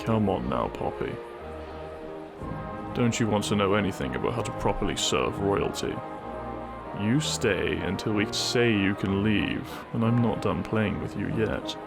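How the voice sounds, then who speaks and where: An actor playing a brash, possessive warrior prince in a romantic roleplay audio drama, gruff, boastful and taunting. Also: male voice, lowish voice, expressive, unhurried. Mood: cocky, possessive. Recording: music under the voice.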